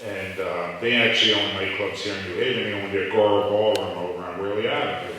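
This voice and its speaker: A middle-aged man with a deep, low voice tells long, rambling stories full of restarts during an onstage interview. His voice reaches the mic from a distance and rings through a large hall with long reverb, with a faint hiss underneath. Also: fairly bright tone. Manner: rambling storytelling with restarts and laughs. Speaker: restaurant owner. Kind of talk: live onstage interview before an audience. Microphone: handheld mic that cut out, picked up from a distance. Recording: echoey hall from far off, faint noise.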